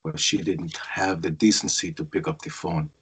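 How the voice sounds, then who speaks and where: A man's voice: sits low, fairly even intonation, normal speed, slightly rough. A man at a screenplay table read, playing a cold, bitter killer without remorse.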